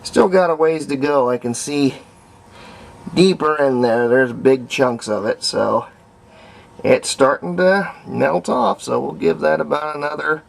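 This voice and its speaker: Gravelly man talking through a hands-on project. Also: on the high side. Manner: unhurried, folksy, thinking aloud. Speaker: hobbyist restorer and video host. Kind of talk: casual hands-on demonstration vlog.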